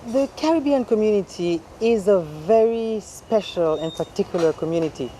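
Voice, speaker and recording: A Haitian-born woman speaking earnestly in an interview, stressing key words and slowing down on them. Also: medium pitch, animated, mellow, slight background noise, low-quality recording. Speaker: founder of a cultural society. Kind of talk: interview answers.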